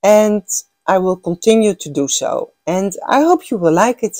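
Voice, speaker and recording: A woman's voice: mid-range voice, lively intonation, moderate pace, darkish tone, no echo, clean studio sound. An older Dutch woman, a native Dutch speaker with a Dutch accent, hosting a knitting vlog in a chatty, gushing way with many fillers and restarts. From Netherlands. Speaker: knitting podcast host. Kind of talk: casual chat.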